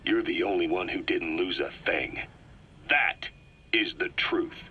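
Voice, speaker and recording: An actor playing a cold, calculating military interrogator in a video game cutscene, speaking with raspy, deliberate menace as he accuses. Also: low-pitched, phone-quality sound. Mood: pointed accusation.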